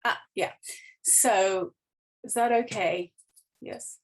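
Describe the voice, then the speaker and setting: Woman writer doing an online talk, mid pitched, chatty explaining, loose phrasing. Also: takes it slowly, a little hiss or hum, rough recording. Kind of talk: informal explanatory presentation over slides. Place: online video call.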